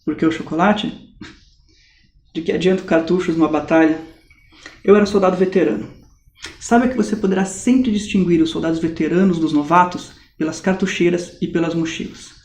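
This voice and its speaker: A man's voice: high-pitched, steady tone, moderate pace, a little mellow. An actor with a Brazilian accent playing a Swiss ex-mercenary in his late thirties who tells war stories in a dry, amused way. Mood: wry. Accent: Brazilian Portuguese. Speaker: acting student. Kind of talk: mock-documentary stage acting. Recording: echoey room.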